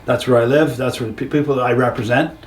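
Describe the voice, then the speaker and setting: An older man from Canada with a Canadian accent, giving plainspoken, blunt and somewhat halting answers as a local council candidate. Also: mid-range voice, fairly even intonation. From Norwich, Ontario, Canada. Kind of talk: informal interview answers. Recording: distant, echoey, noisy room sound.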